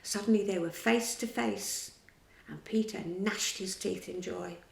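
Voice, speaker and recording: A woman reading a children's storybook aloud in a slow, expressive way. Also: mid-range voice, gravelly, echoey room.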